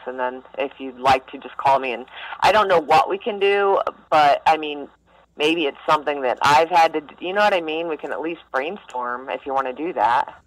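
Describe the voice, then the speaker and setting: A woman speaking bluntly and informally in a casual phone conversation, as a helpline staffer. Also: low voice. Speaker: mental health helpline staffer. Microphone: telephone. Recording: phone call.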